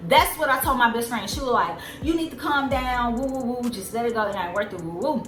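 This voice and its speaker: A woman in her twenties with a soft, squeaky voice, telling a story in a casual, rambling way with lots of fillers. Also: brisk. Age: 20s. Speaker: vlogger. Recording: roomy echo with loud music behind. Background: music.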